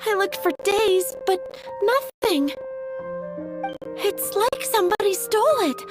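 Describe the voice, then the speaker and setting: Sweet, very high-voiced young flower girl. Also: animated, takes it slowly, heavily acted, low-quality recording. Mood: flustered, distressed. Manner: polite, earnest, hesitant. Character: sweet, timid young female flower seller. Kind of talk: anime game voice acting. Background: faint music.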